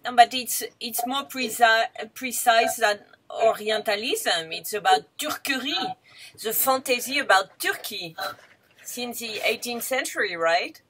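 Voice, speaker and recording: Slow, probing woman interviewer. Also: fairly high pitch, lively intonation, a bit bright, a little hiss or hum, rough recording. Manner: probing, questioning. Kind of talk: interview questions. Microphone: video call.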